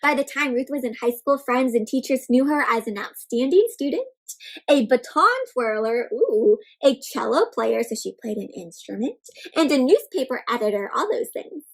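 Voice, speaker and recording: A woman reading a picture book aloud to children, interactive and expressive, stressing words and turning to young listeners with simple questions and explanations. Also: high-pitched, normal speed. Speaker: children's read-aloud host.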